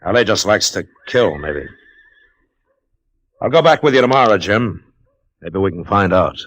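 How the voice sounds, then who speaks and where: A man acting a steady, probing American frontier marshal in an old-time radio western, measured and understated. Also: fairly low pitch, no room sound. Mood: concerned, probing. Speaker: lead actor and narrator in a radio western.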